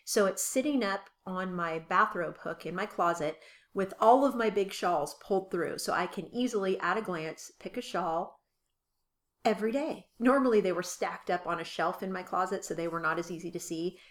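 A woman's voice: fairly low pitch, normal speed. A woman co-hosting a casual podcast chat, her voice scratchy from allergies, laughing easily as she talks. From California. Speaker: podcast co-host.

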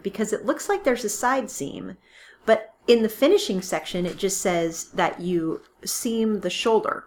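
A woman's voice: medium pitch, fairly bright tone. A woman co-hosting a casual podcast, chatting about a knitting book, often restarting her sentences and laughing at her own slips. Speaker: podcast co-host. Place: home recording with a kitten nearby.

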